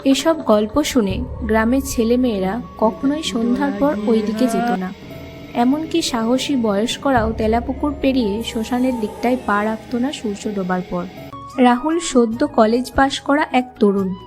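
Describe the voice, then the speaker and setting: A woman narrates a scripted ghost story. Her voice sits high, and music runs clearly behind the narration. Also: moderate pace.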